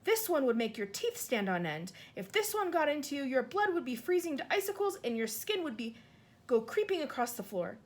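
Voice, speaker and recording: A woman acting out a kind, bumbling giant in a storybook reading, pitched up high and loud as he cries out in jumbled grammar. His voice swings widely and tumbles through made-up words. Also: very animated, a little fast. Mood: distressed. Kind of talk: audiobook character voice.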